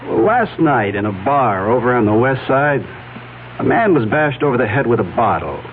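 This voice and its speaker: An actor playing an earnest young district attorney, friendly yet concerned, in a 1970s radio drama. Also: male voice, medium pitch, very animated, normal speed. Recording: tinny old radio broadcast, faint hum.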